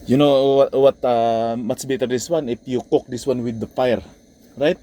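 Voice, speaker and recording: A Filipino man who is a truck driver and vlogger, chatting in a friendly, excitable way and switching between Tagalog and English. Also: medium pitch, moderate pace. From Philippines. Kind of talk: casual vlog chat. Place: truck parking lot outdoors.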